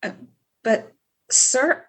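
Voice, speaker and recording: A woman's voice: extremely slow, fairly bright tone. A woman acting an eager, deferential station officer, earnest and easily flustered, in an audio drama. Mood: stunned, grateful.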